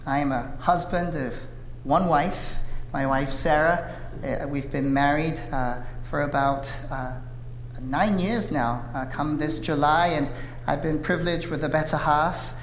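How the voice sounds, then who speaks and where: A man in his thirties from South Korea, whose first language is Korean, preaching a sermon with an earnest, measured delivery and frequent "uh" fillers. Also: fairly high pitch. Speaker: pastor. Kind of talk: sermon with opening prayer. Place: church sanctuary. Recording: echoey hall reverb, faint room noise.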